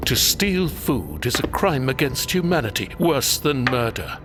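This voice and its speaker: A man's voice: mid-range voice, big pitch swings, measured pace. A man playing a cold, zealous abbot villain in an audio drama, pompous, imperious and menacing. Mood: cold menace. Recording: music clearly behind.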